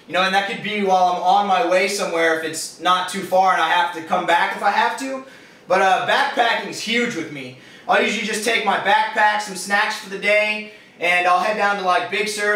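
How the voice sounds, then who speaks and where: A man's voice: high-pitched, sharp tone. A man in his twenties with a Californian accent, answering interview questions in a casual, rambling way with slangy phrasing. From California, USA. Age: 20s. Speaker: interviewee, fitness business owner. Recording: echoey, distant microphone.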